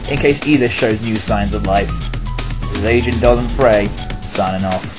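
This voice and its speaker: A man's voice: fairly low pitch, normal speed, natural voice. Man as a formal agent over music. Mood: composed. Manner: formal, official. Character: composed male field agent delivering a report. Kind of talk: scripted report sign-off.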